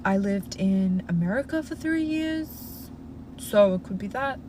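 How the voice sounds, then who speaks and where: A woman's voice: medium pitch, expressive, a little slow, background noise. A young Australian woman with Russian as her first language, casually answering viewer questions on a livestream with lots of "like" fillers. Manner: chatty, frequent "like" fillers, reassuring advice-giver. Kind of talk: casual live Q&A. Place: inside a parked car.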